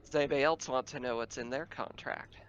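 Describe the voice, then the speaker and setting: A man's voice: medium pitch, steady tone, fast talker, fairly bright tone, muffled recording, slight background noise. A man running a tabletop roleplaying game, narrating casually as game master.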